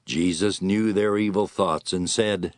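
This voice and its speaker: A man's voice: low-pitched, fairly even intonation, measured pace, no echo. A man reading scripted narration for an audio Bible drama as its storyteller.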